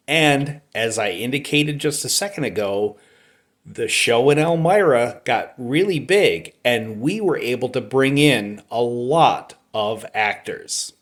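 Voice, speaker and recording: A middle-aged man tells personal stories casually. His delivery is unhurried and reflective, halting between short phrases, at an average male pitch. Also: fairly bright tone. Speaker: storyteller, amateur voice actor.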